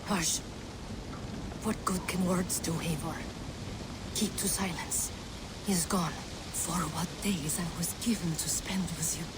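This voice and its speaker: An actress playing a grieving woman mourning her beloved, speaking in a tender, hushed, whispery way for a video game. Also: mid-range voice. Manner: slow, hushed, whispery farewell delivery. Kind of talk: dramatic video game acting. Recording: steady rain and outdoor ambience noise.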